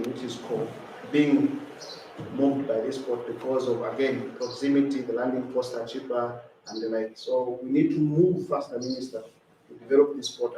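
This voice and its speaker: A man's voice: mid-range voice, mellow. A man giving a formal briefing as a managing director, recorded in an echoey space from some distance. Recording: echoey, distant mic, faint noise.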